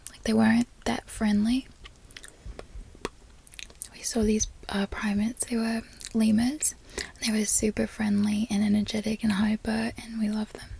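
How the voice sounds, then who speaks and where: A young woman from Florida rambles in a soft, close whisper, as in an ASMR video. Her whisper sits a little high, stays flat in melody and carries a gravelly fry. A faint hiss runs underneath. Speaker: ASMR creator.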